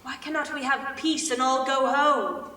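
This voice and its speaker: A woman voicing a weary older German soldier who longs for peace, slow and pleading, in staged reader's theater. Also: high-pitched, steady tone, pushed and bright. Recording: echoey stage, distant mic.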